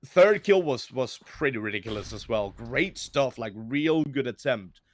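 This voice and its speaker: A man's voice: mid-range voice, lively intonation, measured pace. A man giving color commentary on an esports match, analyzing plays and often repeating words as he restarts.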